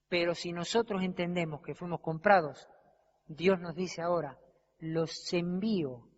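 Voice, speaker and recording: A man's voice: high voice, fairly bright tone. A man preaching a sermon, emphatic and full of rhetorical questions, stretching out the words he stresses. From Argentina. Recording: dull, long reverb of a hall.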